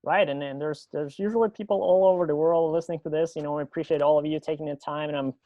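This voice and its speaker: A man's voice: fairly high pitch, fairly even intonation, quick pace, no echo, dull audio. A man hosting a podcast, interviewing in a casual, chatty and slightly rambling way. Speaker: podcast host.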